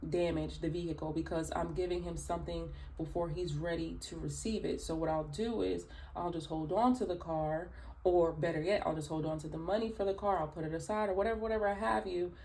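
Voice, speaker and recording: A woman with a raspy early-morning voice, chatting through a tarot reading with many fillers and asides. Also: on the low side, a little mellow. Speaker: tarot reader. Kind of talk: casual spoken tarot reading.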